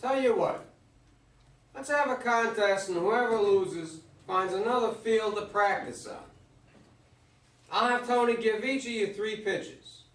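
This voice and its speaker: Man acting retired old baseball star, calm and sure, high male voice, echoey distant stage reading. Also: a little slow. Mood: confident. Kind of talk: amateur staged play reading. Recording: echoey room, far from mic.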